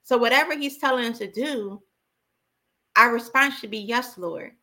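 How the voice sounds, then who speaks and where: A woman's voice: a little high, moderate pace, bright, forceful tone. A woman giving an impassioned, informal sermon as a preacher, exhorting emphatically and repeating key phrases.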